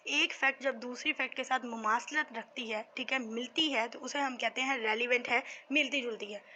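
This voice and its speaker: Woman, Pakistani accent, high bright voice, informal lecturer, question-and-answer delivery, slightly noisy recording. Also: normal speed. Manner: conversational, asks and answers own questions. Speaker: law teacher.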